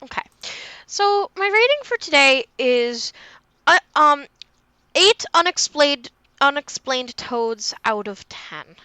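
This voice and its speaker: A woman's voice: high-pitched, lively intonation, slow, bright, forceful tone, no echo. A woman podcast co-host in casual chat, putting in short, informal remarks.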